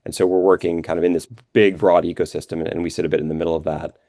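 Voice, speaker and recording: A man's voice: low-pitched, flat delivery, racing, warm tone, no room sound, clean studio sound. A man answering questions as a guest in a business interview, explaining things conversationally with frequent filler phrases. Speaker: interview guest, revenue operations executive.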